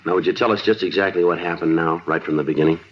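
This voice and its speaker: An actor playing a terse, patient police sergeant, clipped, polite and deadpan, in a 1950s radio drama. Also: male voice, sits low, rapid-fire. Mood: patient, businesslike. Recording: muffled, hissy 1950s radio recording.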